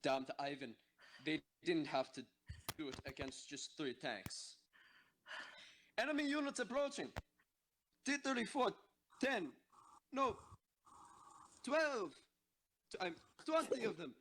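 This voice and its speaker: A man's voice: sits high, big pitch swings, slow, long pauses, a bit bright, a little hiss or hum, full character voice. A man playing a young tank soldier in an amateur audio drama. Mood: grim, resigned.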